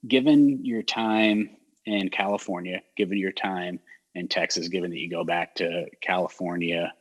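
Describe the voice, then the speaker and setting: A man with a neutral American accent hosts a casual community chat, his voice low and a little husky. He talks in a loose, rambling way, full of fillers and restarts. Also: fairly even intonation, normal speed, low-quality recording. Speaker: mayor hosting a community talk show.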